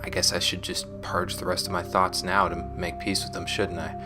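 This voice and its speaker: A man playing a world-weary former gang member recording a confessional sci-fi audio log, speaking in a subdued, reflective, measured way over music. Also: low voice, little pitch movement, natural voice. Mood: numb, reflective.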